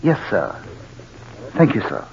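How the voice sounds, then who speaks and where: An actor playing a spy disguised as a butler, stiff and formal, in 1940s radio drama. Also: male voice, on the low side, huge pitch swings, slow, creaky, darkish tone, breathy edge, full character voice. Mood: servile. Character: spy posing as servile butler, indignant when unmasked. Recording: dull 1940s radio recording.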